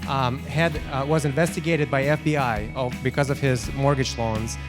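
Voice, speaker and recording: A man from Ukraine with a Ukrainian accent giving a halting personal testimony, full of fillers and restarts. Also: mid-range voice, steady tone. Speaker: testimonial guest. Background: guitar music underneath.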